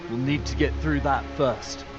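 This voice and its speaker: An actor playing a pragmatic fantasy war commander in a video game, grave and decisive. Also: male voice, medium pitch, steady tone, normal speed, natural voice. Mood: resolute. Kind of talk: video game character acting. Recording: dull audio under music.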